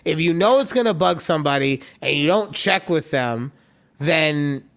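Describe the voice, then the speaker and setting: Male podcast co-host, loose rambling delivery, slightly higher voice, casual chat. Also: animated, moderate pace, fairly bright tone. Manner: chatty, rambling, acts out quoted voices.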